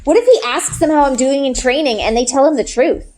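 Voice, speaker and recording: A woman narrator giving an expressive read-aloud of a children's novel in the first person. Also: sits high, brisk.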